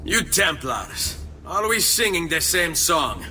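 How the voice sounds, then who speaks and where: An actor playing a confident, dryly witty assassin with an Italian accent in a video game. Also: male voice, a little high, sing-song, slow pace, pushed and bright, soft background music, heavily acted. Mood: taunting. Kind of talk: video game cutscene acting.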